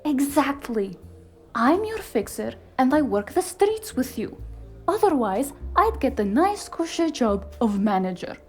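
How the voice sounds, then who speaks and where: A woman playing a sharp, street-savvy, exasperated fixer in a cyberpunk noir audio drama, sardonic and emphatic. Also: high-pitched, big pitch swings, a little slow, soft background music. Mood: exasperated, scolding.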